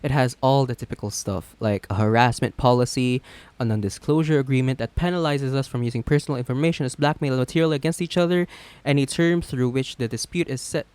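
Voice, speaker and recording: A man's voice: medium pitch, a little fast, a little mellow, smooth, no room sound, close to an everyday voice. A man playing an awkward, earnest villain on a blind date in a romantic comedy audio drama, talking in a flustered, conversational way with stammers. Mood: earnest, matter-of-fact. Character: well-known male villain on a blind date, awkward, earnest, legal-minded.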